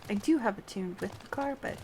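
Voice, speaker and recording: A woman's voice: medium pitch, expressive, a little fast, a little mellow, slight background noise. A woman hosting a casual game livestream, chatting playfully and making light fun of herself. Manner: chatty, giggly, playful, self-deprecating. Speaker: game streamer and host. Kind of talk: casual livestream gameplay chat.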